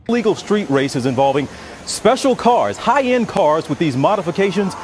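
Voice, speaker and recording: A man's voice: high-pitched, very animated, moderate pace, slight background noise. A man narrating a scripted intro in an announcer style. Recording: narration under loud music.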